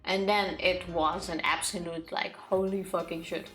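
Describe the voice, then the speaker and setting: A woman's voice: fairly low pitch, fairly even intonation, unhurried, a bit bright. A woman streaming, in casual chat before a game, with a blunt, dryly joking way of talking. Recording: music playing behind the voice. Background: music.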